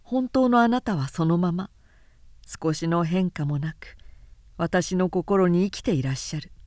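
A woman narrator voicing an ardent, courtly young man who pleads gently and formally in a literary audiobook. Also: fairly low pitch, unhurried, dry recording, clean studio sound, close to an everyday voice. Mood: earnest, pleading.

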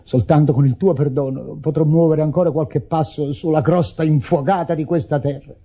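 An actor playing a guilt-ridden, tormented widower, declaiming with anguish in a melodramatic radio play. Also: male voice, a little high, steady tone, normal speed, husky. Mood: anguished, pleading. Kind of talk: theatrical Italian radio drama acting. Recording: muffled old radio broadcast recording.

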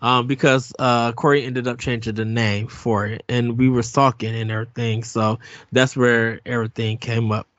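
A man's voice: medium pitch, little pitch movement, moderate pace, a bit bright, dry recording, lo-fi sound. A man in his early forties chatting casually as a podcast host.

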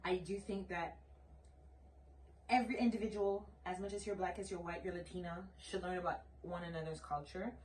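A woman's voice: lowish voice, steady tone, brisk, darkish tone, slight background noise. A woman in her late twenties from Canada co-hosting a podcast, talking bluntly and emphatically in casual conversation, with lots of "like" fillers. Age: late 20s. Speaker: podcast co-host. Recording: roomy room sound, distant mic.

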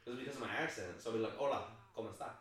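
Excitable bright-voiced man in an echoey room. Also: rapid-fire. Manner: excitable, jokey, pitch leaps up when laughing. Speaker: podcast co-host. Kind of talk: casual chat. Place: home podcast setup in Arizona.

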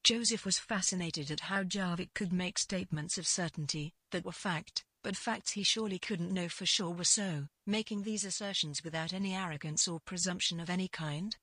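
A woman narrating literary fiction from a script in a measured way. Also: fairly low pitch, pushed and bright, breathy edge, dry recording.

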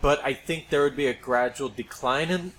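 A man's voice: mid-range voice, normal speed, a bit bright. A middle-aged American man co-hosting a podcast in casual, speculative conversation. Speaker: podcast co-host. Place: home studio. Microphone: podcast microphone. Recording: clearly noisy amateur podcast recording.

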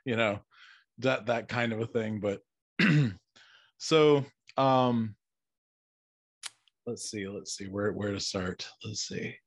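A man's voice: on the low side, slow, long pauses, dry recording. A man interviewing casually, with hesitant and meandering phrasing.

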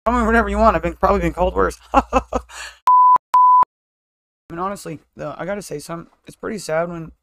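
A woman with a deeper voice chatting casually as a podcast co-host, blunt and often laughing at herself. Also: brisk, vocal fry, pauses often, no room sound. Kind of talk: casual chat.

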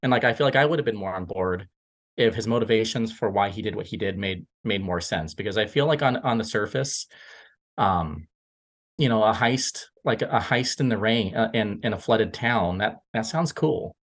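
A man's voice: lowish voice, moderate pace. A man discussing films casually, circling back over his phrases as he thinks aloud. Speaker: podcast panelist.

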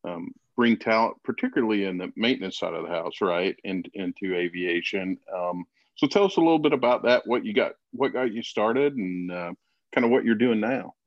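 Man, lower voice, teasing, easygoing co-host, muffled remote sound, dry. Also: fairly quick, no room sound. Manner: teasing, laid-back, laughs easily. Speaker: podcast co-host. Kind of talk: casual chat and interviewing.

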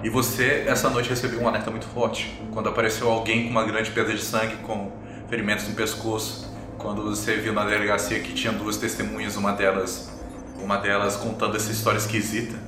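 A man improvising tabletop game narration as game master, haltingly searching for his words. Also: lowish voice, completely flat delivery, roomy sound. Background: music.

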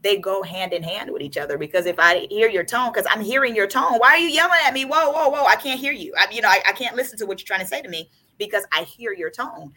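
A woman's voice: medium pitch, animated, fast, hardly any pauses, bright, forceful tone. A woman hosting a podcast, talking in a casual, emphatic way and acting out quoted reactions. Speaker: podcast host.

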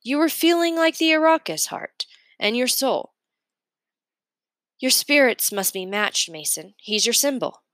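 Woman as an encouraging young boy, raised pitch. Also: lively intonation, unhurried, a bit bright, no room sound, studio quality. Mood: admiring, intent. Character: encouraging, thoughtful boy, the narrator's artistic friend. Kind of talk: storybook character dialogue.

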